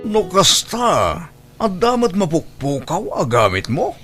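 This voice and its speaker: A man's voice: sits high, wildly swooping pitch, takes it slowly, over loud music, full character voice. A man playing an older, watchful father who is fond of his daughter, in a radio drama. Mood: fond, calm.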